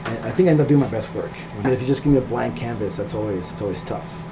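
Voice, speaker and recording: A man's voice: mid-range voice, fast, music in the background, rough recording. A middle-aged man from Peru talking casually and hesitantly in an interview about himself, thinking aloud in an informal way. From Peru, later California. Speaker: illustrator, interviewee.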